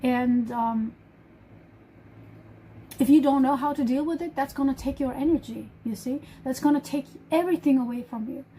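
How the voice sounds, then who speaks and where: A woman's voice: high voice, moderate pace, dark tone, slight background noise, low-quality recording. A woman with a German accent and German as her first language, hosting a casual live stream, talking emphatically and often restarting her phrases. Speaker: livestream host.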